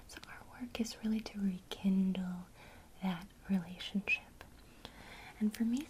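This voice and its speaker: Whispering woman teaching witchcraft, mellow. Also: medium pitch, a little hiss or hum, rough recording. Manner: soft encouraging whisper throughout. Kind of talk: whispered ASMR lesson.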